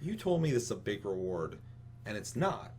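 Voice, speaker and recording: A man's voice: on the low side, sing-song, moderate pace, darkish tone, a little hiss or hum. A man in a casual, profane gaming chat as podcast host, often quoting imagined characters mockingly.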